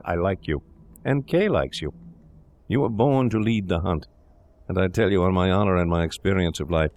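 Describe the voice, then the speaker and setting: A man's voice: sits low, vocal fry. A man voicing a cocky young medical assistant in an audio drama, jovially condescending and persuasive as a corrupting accomplice. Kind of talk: dramatic audiobook character reading.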